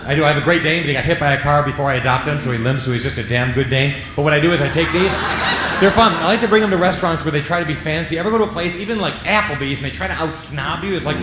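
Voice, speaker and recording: Stand-up comic, male, slightly high voice, playful patter; booming room with audience noise behind. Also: racing. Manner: jokey, drops into silly voices and sound effects. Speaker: stand-up comedian. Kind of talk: stand-up comedy set. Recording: echoey club with audience noise.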